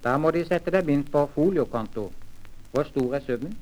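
A man reads as a language course instructor. His delivery is formal and measured, every word carefully enunciated. It comes from a vintage 78rpm teaching record. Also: fairly high pitch, lively intonation, a little mellow, poor audio. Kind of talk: formal scripted lesson reading, old-time language record.

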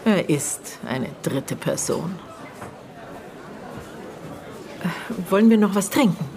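A middle-aged woman with a lowish voice, guarded and bitter in a radio play. She speaks haltingly in short, broken phrases, as if digging through painful memories. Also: animated, gravelly, a crowd in the background. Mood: pensive. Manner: terse, halting, broken phrases with thoughtful pauses. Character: murdered girl's aunt, bitter, guarded, searching her memory. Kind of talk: naturalistic German radio drama acting.

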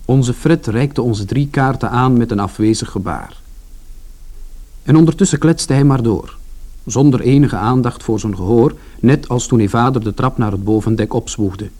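An actor playing a wry brother who narrates a family tale in a radio drama, in a measured storytelling manner. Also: male voice, mid-range voice, dark tone, close to an everyday voice. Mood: wry, reflective.

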